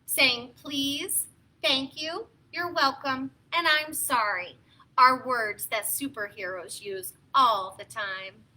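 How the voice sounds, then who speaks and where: Bright, high-voiced woman hosting children's story time. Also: slow pace. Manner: encouraging, expressive, simple phrasing for small children. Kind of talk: read-aloud and talk to young children.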